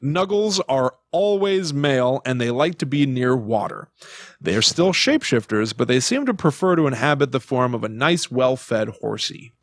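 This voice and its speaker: A man's voice: medium pitch, sing-song, moderate pace, dry recording, clean studio sound. A man co-hosting a podcast, telling folklore in a casual, wry and playful chat. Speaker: podcast co-host.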